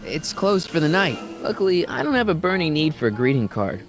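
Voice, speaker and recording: A man's voice: fairly high pitch, big pitch swings, a little fast, soft background music. A man voicing a nervy, wry teenage boy hero in a video game, with hesitant delivery and dry asides. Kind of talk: animated video game voice acting.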